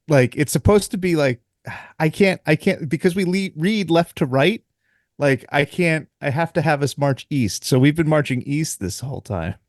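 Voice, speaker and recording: A man's voice: fairly high pitch, no echo, clean studio sound. A man co-hosting a podcast as the game's dungeon master, chatting casually, laughing often and restarting his sentences.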